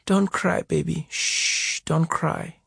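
A man voicing a gentle, loving mother who is recovering in hospital, speaking softly and soothingly in a storybook reading. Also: sits low, steady tone, takes it slowly, creaky, slightly rough, no room sound, voice pushed up, full character voice. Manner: soft, hushed, soothing.

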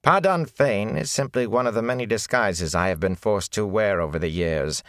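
A man voicing a filthy, cringing male beggar who whines and then turns smooth, unctuous and haughty. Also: lowish voice, expressive, measured pace, fairly bright tone, dry recording, studio quality, pitched above the performer's own voice. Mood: oily.

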